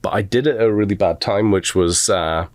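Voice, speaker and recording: A middle-aged man with a softened Scottish accent, an animation instructor telling rambling, self-deprecating stories in a relaxed interview. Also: fairly low pitch, brisk, no room sound. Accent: Scottish, softened toward American. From Scotland.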